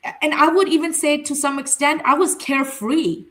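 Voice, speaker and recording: A woman's voice: high-pitched, steady tone, fairly quick, a bit bright. A woman who is a family therapist, a native Urdu speaker, talking candidly and reflectively in a casual panel conversation, laughing easily. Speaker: family therapist and center founder.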